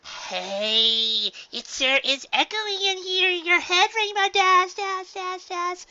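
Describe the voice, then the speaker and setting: A reader voicing a giddy, excitable female cartoon pony, playful and sing-song, in a story reading. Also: very high voice, slow, lo-fi sound, voice pushed up, full character voice.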